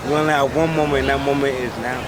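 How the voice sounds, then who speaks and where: A man with a mixed Jamaican and New York accent and a speech impediment, telling his story quickly in a candid street interview outdoors. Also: medium pitch, level tone. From United States. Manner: fast, tumbling storytelling. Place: outdoors on a busy city street. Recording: loud street crowd behind.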